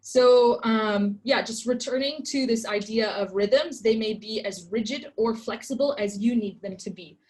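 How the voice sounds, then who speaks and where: A woman's voice: on the high side, unhurried, few pauses, a little mellow, rough recording. A woman in her twenties who grew up in Egypt, leading an online workshop in a casual, chatty way with many ums and likes, on a computer mic. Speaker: workshop presenter.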